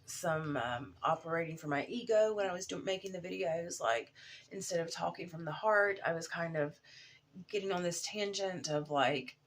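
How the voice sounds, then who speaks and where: A woman in her thirties with a cold and a sore throat, talking haltingly in a personal video diary, full of fillers and restarts. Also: lowish voice, slight background noise. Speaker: vlogger.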